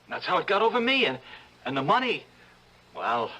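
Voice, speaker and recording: An actor playing a panicky thirty-year-old ex-convict in an old-time radio drama, stammering and pleading in a raspy streetwise way. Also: male voice, high voice, very animated, fairly quick, pushed and bright, exaggerated voice, poor audio. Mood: nervous, defensive.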